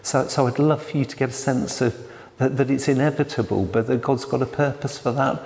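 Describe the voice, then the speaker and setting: A man, a church elder giving a sermon in a quiet, hesitant way with many restarts. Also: medium pitch, fairly even intonation, fairly quick, vocal fry. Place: church hall. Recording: long hall reverb.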